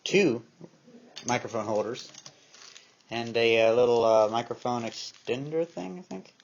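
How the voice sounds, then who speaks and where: A man's voice: medium pitch, measured pace, vocal fry. A man giving a casual, unscripted show-and-tell, musing aloud to himself as he handles gear, recorded indoors on a handheld camera's microphone. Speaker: vlogger showing a collection of tape recorders. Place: indoor room at home. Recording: dull, noisy handheld camera sound with clicks.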